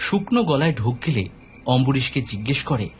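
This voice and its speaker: A man's voice: medium pitch, big pitch swings, fast. A man reading scripted narration for a dramatic horror audio story. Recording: background music under the voice.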